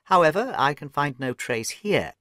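A man voicing a keen, brisk detective who asks crisp, probing questions. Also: a little high, lively intonation, pushed and bright, no echo, clean studio sound. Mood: pleased.

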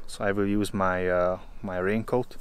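A man in his thirties from Hungary, casually talking to a close-held camera outdoors in the woods, often pausing with uh. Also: low-pitched, flat delivery, clear, resonant tone. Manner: hesitant, many filler uhs. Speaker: vlogger.

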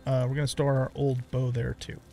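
A man's voice: mid-range voice, monotone, a little fast, creaky, dark tone. A middle-aged man giving casual let's play commentary, rambling as he thinks aloud.

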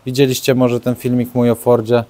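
A man's voice: mid-range voice, monotone, brisk, warm tone. A young man, a car mechanic vlogger, chatting frankly and casually while he works on an engine in an open garage. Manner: chatty, frank, self-deprecating. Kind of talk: casual how-to commentary. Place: open home garage, rain outside.

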